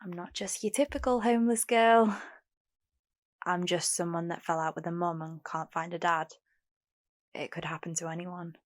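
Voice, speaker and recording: A woman's voice: on the low side, fairly even intonation, normal speed, natural voice. A young woman playing a guarded but hopeful homeless singer in an audio drama, candid and plain-spoken. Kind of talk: first-person audio drama narration and dialogue.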